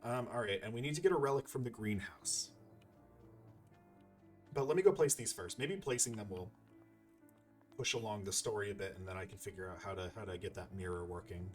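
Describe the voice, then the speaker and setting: A man's voice: lowish voice, brisk, pauses often. A man giving casual gameplay commentary, musing aloud to himself as he plays. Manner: musing, talking to himself while playing. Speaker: gamer, let's player. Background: video game music.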